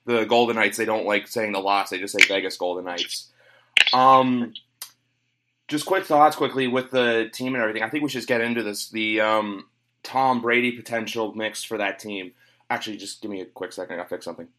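A man's voice: lowish voice, steady tone, brisk, bright, forceful tone. Man with a cold hosting a podcast. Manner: rambling, filler-heavy. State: has a cold. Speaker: podcast host. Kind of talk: casual sports podcast chat. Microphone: home recording, guest on internet call.

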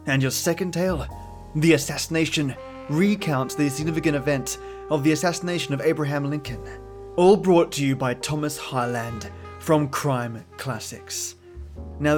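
A man hosting a podcast, speaking in an effusive, affectionate way to his listeners in a scripted introduction. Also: on the high side. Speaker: podcast host. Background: music behind the voice.

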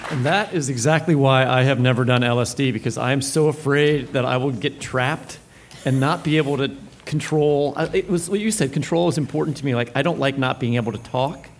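Man, American accent, medium pitch, casual co-host chat, slight background noise. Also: a little fast. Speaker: podcast co-host. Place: live stage at a book fair.

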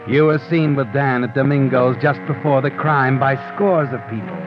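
An actor playing a sardonic, all-knowing narrator of a 1940s radio mystery, taunting the heroine with dramatic needling questions. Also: male voice, medium pitch, normal speed, highs cut off, close to an everyday voice. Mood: ominous, needling. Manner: dramatic second-person address, needling rhetorical questions. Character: sardonic, omniscient male narrator taunting the story's guilty heroine. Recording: vintage 1940s radio with music behind.

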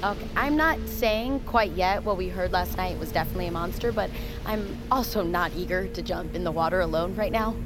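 An actress playing a wry, kind but reluctant submersible pilot in an audio drama, talking in a dry, casual, teasing way. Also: female voice, lowish voice, brisk, hardly any pauses, lightly acted. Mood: uneasy, reluctant. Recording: clear vehicle and sea ambience noise.

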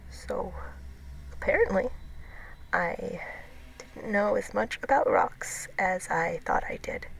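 An actress playing a shaken woman telling a harrowing personal story as a dramatic, halting monologue. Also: mid-range voice, creaky, fairly bright tone, full character voice. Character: shaken woman recounting losing all her hair at a hotel. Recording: noisy recording with ambient sound.

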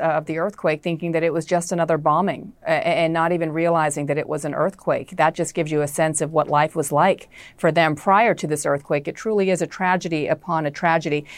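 Low-voiced woman news anchor. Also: no room sound. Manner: measured, scripted lead-ins. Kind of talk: news interview hosting.

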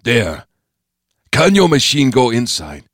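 A man voicing a sharp, commanding old man with terse, menacing delivery in an audiobook thriller. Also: mid-range voice, wildly swooping pitch, slow pace, no room sound, clean studio sound, voice pushed up, heavily acted. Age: older.

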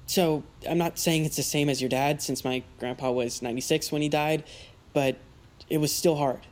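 A woman's voice: sits low, fairly even intonation, moderate pace, a little mellow, lightly acted. A woman in an audio drama playing a friendly, awkward, eager teenage boy, earnest and bumbling. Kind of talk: naturalistic audio drama acting.

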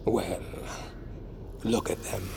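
An actor playing a dangerous bodyguard to a sorcerer in an audio drama, wry and insinuating, with a gravelly voice. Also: male voice, on the low side, fairly even intonation, extremely slow, exaggerated voice. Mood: dark fascination. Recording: noisy ambience behind.

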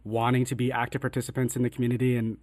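A reflective man in a relaxed panel chat, thinking aloud with frequent "like"s and restarts. His voice sits at an ordinary pitch, and the sound is close and dry, with little room around it. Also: no echo. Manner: reflective, halting with many fillers and restarts. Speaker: writer and director. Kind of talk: casual panel conversation.

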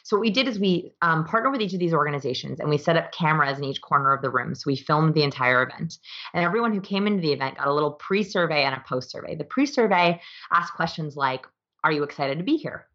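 Female interview guest, low voice, explains things emphatically in a conversational way. Slightly muffled, lo-fi sound. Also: lively intonation, fast talker, fairly bright tone. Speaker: interview guest, author and behavior researcher.

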